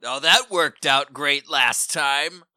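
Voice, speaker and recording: A crass, wisecracking man banters at a game table. His voice is fairly high and piercingly bright, closely recorded with no room echo. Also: slow, clean studio sound.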